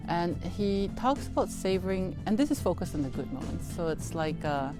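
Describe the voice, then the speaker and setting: A middle-aged woman speaking calmly and thoughtfully in an outdoor interview. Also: on the low side, normal speed, music in the background. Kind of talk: reflective interview answer. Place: outdoors by a garden hedge.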